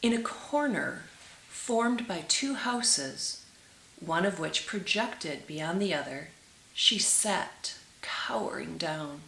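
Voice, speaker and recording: Woman storyteller, very slow pace, slightly low pitch, expressive read-aloud, drawn-out emphasis, echoey room with hiss. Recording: roomy echo with clear hiss.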